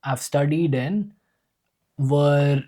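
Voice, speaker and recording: A man's voice: on the high side, extremely slow, mellow. A man from India with an Indian accent, telling his life story earnestly and enthusiastically in a casual podcast conversation. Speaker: podcast guest, usual host.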